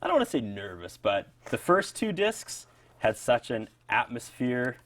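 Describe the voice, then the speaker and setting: A man's voice: medium pitch, sing-song, measured pace, fairly bright tone. A man hosting a music show, chatting casually and enthusiastically with a guest. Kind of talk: casual interview chat.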